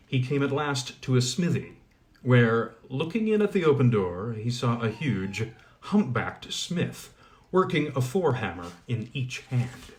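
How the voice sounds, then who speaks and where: Man reading an old fairy tale out loud, animated voice, middle pitch. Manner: expressive, lively storytelling. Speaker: audiobook reader. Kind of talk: storybook narration.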